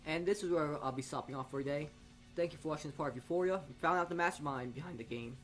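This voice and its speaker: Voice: medium pitch, fast talker, soft background music. A gaming video host reading story text aloud dramatically and dropping in casual, blunt asides.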